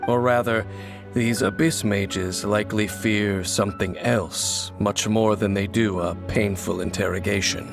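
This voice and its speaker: A low, gravelly voice of a mysterious wanderer, solemn and cryptic, measuring out each line. It sounds like a game cutscene, with clear music playing behind him. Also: male voice, fairly even intonation, measured pace. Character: mysterious grave male wanderer who hunts the Abyss. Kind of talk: video game voice acting. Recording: game audio with loud music.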